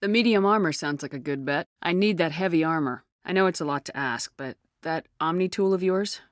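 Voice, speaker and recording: Woman acting a low-voiced, tough female space commander. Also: brisk, no echo, studio quality, lightly acted. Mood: businesslike. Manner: terse, commanding, controlled. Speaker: video game lead character. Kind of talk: video game dialogue acting.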